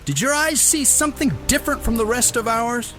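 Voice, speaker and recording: A man's voice: very high voice, a little fast, sharp tone. An actor playing a stern, scolding man of a medieval household in an audio drama. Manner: scolding, imperious.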